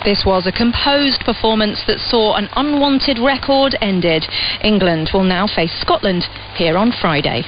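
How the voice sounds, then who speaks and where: A woman's voice: mid-range voice, a little slow. Woman giving a sports report through static. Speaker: sports correspondent. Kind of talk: scripted news report. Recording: muffled shortwave radio with static.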